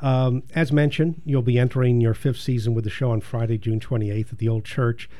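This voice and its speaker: Guy hosting a chat, mid pitch, trips over words a bit. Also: fairly even intonation, a little fast, dark tone. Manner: friendly, stumbling questions. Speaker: interview host. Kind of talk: casual interview.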